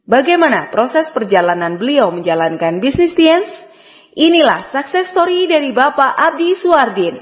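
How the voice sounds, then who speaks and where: A woman reads a formal scripted introduction with an animated, widely moving pitch. Her voice carries long hall reverb, and the recording sounds dull and lo-fi. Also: normal speed. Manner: formal, announcing tone. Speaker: emcee introducing the speaker.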